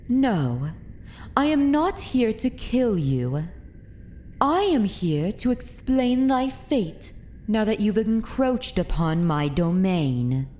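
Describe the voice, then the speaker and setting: A woman's voice: medium pitch, dark tone, slight background noise. A woman playing a haughty, dominant vampire noblewoman in an ASMR fantasy roleplay, with commanding, archaic formal speech. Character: haughty, dominant aristocratic vampire mistress of a manor.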